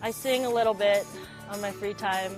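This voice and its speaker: A woman's voice: mid-range voice, fairly even intonation, normal speed, low-quality recording. Young American woman over background music. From Las Vegas, United States. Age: early 20s. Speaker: college basketball player being profiled. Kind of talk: casual interview talk to camera.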